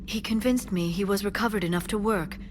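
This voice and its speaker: A woman playing a composed, earnest alien scholar and information broker in a video game, speaking in a measured, articulate way. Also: mid-range voice, lightly acted. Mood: reflective, measured. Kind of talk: video game character dialogue. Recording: clear ambient noise behind game dialogue.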